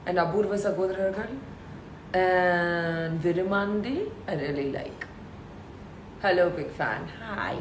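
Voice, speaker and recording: Woman, thirties, deep voice, casual live-stream chat, reads fan questions, echoey room. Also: animated, unhurried, noisy background. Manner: chatty, laughs often, reads out questions. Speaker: live-stream host answering fans.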